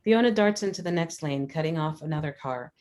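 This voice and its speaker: A woman's voice: deep voice, animated, brisk, darkish tone. A woman reading scripted stage directions as narrator at a screenplay table read.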